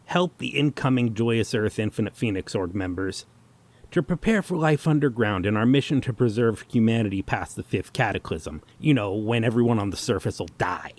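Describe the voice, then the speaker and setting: A man's voice: fairly low pitch, close to an everyday voice. Man playing a naive, rambling commune bro. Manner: casual, hesitant, rambling. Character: naive, sentimental laid-back bro in a bunker commune. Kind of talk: scripted comedy testimonial.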